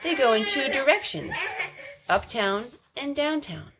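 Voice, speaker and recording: A woman reading a picture book aloud as a storytime host, expressive, drawing out words for emphasis. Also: high-pitched, measured pace, crowd noise, low-quality recording. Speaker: storytime reader.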